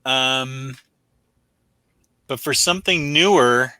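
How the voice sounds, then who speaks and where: A man's voice: mid-range voice, takes it slowly, pushed and bright, clear, resonant tone, no echo. A man hosting a comic book review show in casual livestream chat, rambling along in a self-deprecating way.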